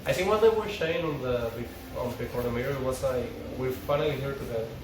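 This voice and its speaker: A man's voice: medium pitch, fairly quick. A man reading stage directions aloud as narrator at an informal script table read. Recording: echoey, distant mic, clear background noise.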